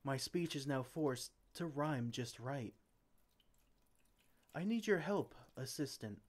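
A man acts out a well-meaning wizard who brews potions and gets flustered. He is theatrical and exclamatory in this ASMR roleplay, with an average male pitch that swoops up and down animatedly. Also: animated, slow pace. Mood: dismayed. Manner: theatrical, exclamatory, speaks to a silent assistant.

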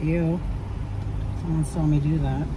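A woman's voice: sits low, little pitch movement, a little slow. Chatty middle-aged woman vlogging in a car. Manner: chatty, rambling, self-deprecating. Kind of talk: casual car chat to camera. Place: parked car, outdoors in sun. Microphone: phone camera on dashboard. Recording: distant mic with loud street noise.

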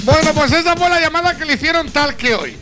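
High, animated radio man. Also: fast talker. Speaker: radio presenter. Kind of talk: scripted radio storytelling. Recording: radio segment over music.

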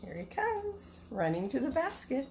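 A middle-aged woman talks to children in a casual storytime manner. Her voice sits a little high, with some fry in it, and she takes her time. It is a homemade phone recording in a living room, with faint noise behind her. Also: huge pitch swings, a little slow, a little mellow. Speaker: elementary school teacher reading storytime. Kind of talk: casual livestream chat and picture-book read-aloud for children. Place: small living room at home, indoors. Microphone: phone at arm's length.

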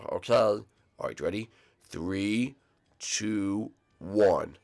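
A man voicing a gruff middle-aged dragon-turtle king who is a strict father, in a lowered, stern voice. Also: very slow, darkish tone, full character voice. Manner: stern, patient, corrects his son.

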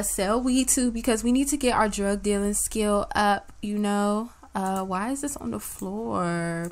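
A woman casually narrates her gameplay session, tossing slang and asides right at her audience. Her voice sounds slightly worn, like she is losing it. Her tone sits at an ordinary middle pitch for a woman. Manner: chatty, slangy, talks straight to viewers. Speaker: gaming channel host. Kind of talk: casual let's-play commentary.

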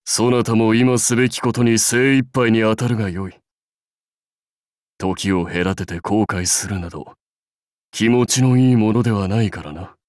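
Guy voicing a proud old-school samurai warlord for a game, lowish voice, really slow and stately, kinda wry. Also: a little mellow, no echo, studio quality, natural voice. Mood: resolute, solemn. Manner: stately, measured, archaic lordly speech. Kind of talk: scripted game character lines.

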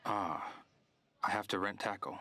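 A man's voice: lowish voice, fairly even intonation, unhurried, bright, forceful tone. A man playing a stoic, earnest young martial artist in a video game, speaking in a stiff, reserved way. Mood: reserved, calm.

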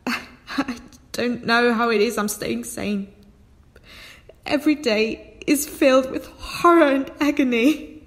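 Actress as weary besieged daughter, high voice, earnest and plain-spoken, sci-fi audio drama. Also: female voice, takes it slowly. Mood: anguished, pleading.

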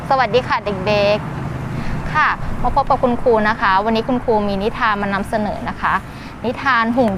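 A woman's voice: on the high side, moderate pace, noisy background. A woman teacher telling a puppet story to young children in simple, repeated phrases. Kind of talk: children's storytelling with questions and sound effects.

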